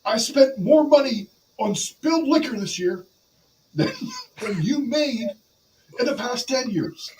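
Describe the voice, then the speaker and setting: A man voicing a flamboyant, boastful pro wrestler with a drawn-out, whooping bragging cadence. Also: very high voice, animated, darkish tone, far from the mic, slight background noise, voice pushed up, full character voice, rough recording. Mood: swaggering, boastful.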